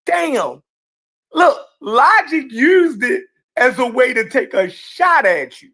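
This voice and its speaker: A man's voice: very high voice, big pitch swings, slow, bright, forceful tone. A man in a heated group conversation who keeps cutting in to explain his point and insists on being heard.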